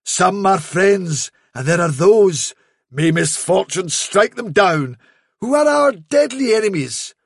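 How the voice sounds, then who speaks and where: A man's voice: sits high, expressive, slow pace, vocal fry, husky, dry recording, clean studio sound, heavily acted. A man voicing a cunning male trickster rabbit posing as a fairy, in a storybook reading, delivering sly, solemn, dramatic warnings.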